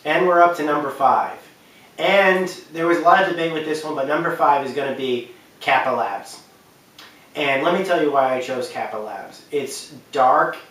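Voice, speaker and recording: A man's voice: on the high side, normal speed, a bit bright. A man hosting a video game countdown with casual, unscripted commentary, stressing and repeating words for emphasis. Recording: very roomy echo, distant from mic.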